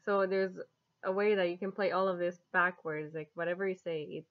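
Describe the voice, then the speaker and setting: A woman in her twenties talks casually to camera as she shows off an app, amused and giggly. Her voice sits a little low for a woman, and the sound is dull and lo-fi, like a webcam in a living room. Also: steady tone, fairly quick. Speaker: app reviewer. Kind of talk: casual vlog chat to camera. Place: small home living room, indoors.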